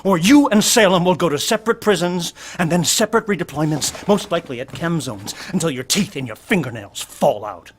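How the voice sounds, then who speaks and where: An actor playing a resentful security officer turned blackmailer in an audio drama, petulant, menacing and volatile. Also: male voice, a little high, lively intonation, brisk, few pauses, slight background noise, natural voice. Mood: petulant menace.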